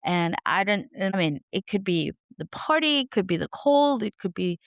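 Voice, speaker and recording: A raspy, hoarse woman with a sore throat hosting her podcast in an unscripted, rambling chat, laughing at herself. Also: mid-range voice, animated, moderate pace, no room sound, clean studio sound. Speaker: podcast host.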